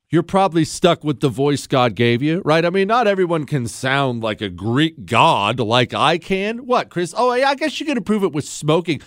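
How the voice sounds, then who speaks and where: A man's voice: sits high, a bit bright, no room sound, studio quality. A man from Ohio hosting a radio talk show, opinionated, joking and sarcastic. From Ohio, USA. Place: radio studio.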